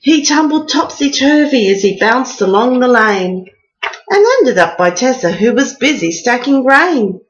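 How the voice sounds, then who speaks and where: A woman's voice: fairly high pitch, expressive, unhurried, lo-fi sound, slight background noise. A woman storyteller reading a rhyming picture book aloud as a bedtime story.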